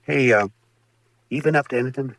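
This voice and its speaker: A man's voice: medium pitch, brisk, gravelly, fairly bright tone. A nosy small-town hotel clerk from an old radio western. He has a mid-range American voice with no echo around it. He is chatty and folksy. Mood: friendly, curious. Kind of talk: 1950s radio western acting.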